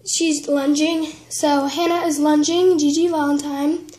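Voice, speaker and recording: High voiced girl doing a casual show and tell, slow, stops and starts, fixes her words as she goes. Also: flat delivery, mellow, slight background noise, poor audio. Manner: halting, trailing off and self-correcting. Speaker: vlogger giving a tour of a toy horse barn. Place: indoors at home, room with wooden floor. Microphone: handheld camera, speaker behind it.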